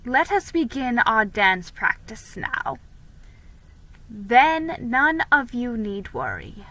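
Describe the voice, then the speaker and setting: A woman voicing a prim colonial teacher of manners in a storybook, giving precise, measured instruction. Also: on the high side, sing-song, bright, forceful tone, dry recording, full character voice, poor audio. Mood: firm.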